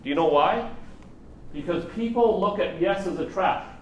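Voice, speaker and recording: A man's voice: high voice, normal speed. A man giving an informal seminar as a trainer, conversational and putting rhetorical questions to his audience. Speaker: seminar trainer teaching negotiation and NLP. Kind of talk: live seminar presentation, informal coaching. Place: live seminar room with an audience. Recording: roomy, distant mic, faint noise.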